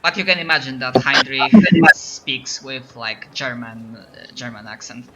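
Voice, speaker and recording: A man's voice: medium pitch, steady tone, measured pace, poor audio. A man from Poland with a Polish accent, narrating as game master and often stopping to restart his sentences. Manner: hesitant, lots of restarts.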